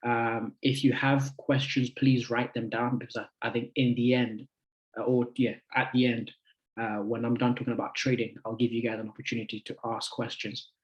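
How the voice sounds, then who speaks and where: A man's voice: mid-range voice, level tone, dull audio. Chatty young man in his twenties. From United Kingdom. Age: 20s. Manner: friendly, chatty, halting with many fillers. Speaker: guest speaker, trader. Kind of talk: casual talk to an online group.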